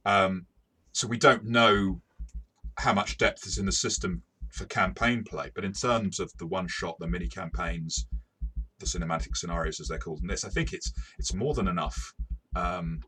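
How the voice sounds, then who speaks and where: Male review co-host. Deep-set, crisp-edged voice, conversational and rambling, with stop-start phrasing. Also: pushed and bright. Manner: rambling, halting, repeats words. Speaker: podcast host and game reviewer. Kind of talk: casual review discussion.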